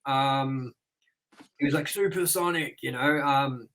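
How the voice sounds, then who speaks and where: A man's voice: mid-range voice, unhurried, a bit bright, poor audio. A man in his thirties co-hosting a movie review show, chatting casually and telling rambling stories with casual swearing.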